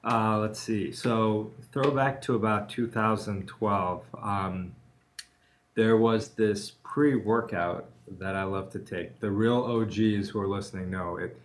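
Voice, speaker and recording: A man's voice: fairly low pitch, fairly even intonation, unhurried. A man co-hosting a casual podcast about lawyering, telling stories in a joking way and laughing often. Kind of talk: casual chat.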